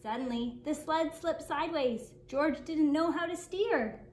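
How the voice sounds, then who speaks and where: A woman reading a children's picture book aloud as a story-time host, in an expressive, deliberate storytelling manner for young children. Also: sits high. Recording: roomy echo, faint background noise.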